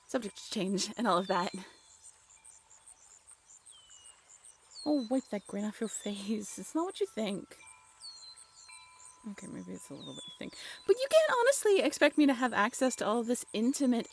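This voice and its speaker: A woman acting a bashful, affectionate young writer on a date in an intimate audio roleplay, halting and playfully teasing. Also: a little high, a little hiss or hum. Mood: playfully defensive.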